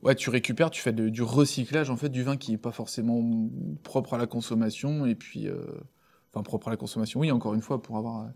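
A man interviewing a guest in a casual way, friendly and quick to agree. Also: medium pitch, fairly even intonation, a little mellow.

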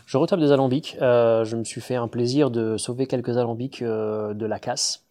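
A man's voice: medium pitch, steady tone, normal speed, warm tone. A man, a distiller, talking in a casual interview, explaining with enthusiasm but with many fillers and restarts. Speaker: distiller, guest.

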